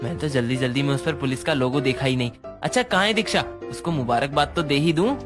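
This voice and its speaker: A man's voice: medium pitch, fast, music in the background, lightly acted. A man as an eager, affectionate young husband. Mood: delighted. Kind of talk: Hindi cartoon story voice acting.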